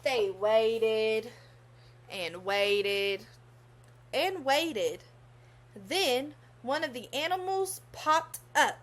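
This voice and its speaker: A woman's voice: on the high side, lively intonation. A young woman reading a children's story aloud expressively, in a teacherly manner. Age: young adult. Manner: teacherly, pauses to pose questions to young listeners.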